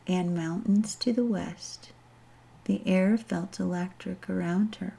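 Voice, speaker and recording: Woman reading a story, husky and unhurried. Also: on the low side, low-quality recording. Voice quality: husky, breathy voice with soft creak. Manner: unhurried, soft storytelling delivery. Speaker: amateur audiobook narrator. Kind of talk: children's storybook narration.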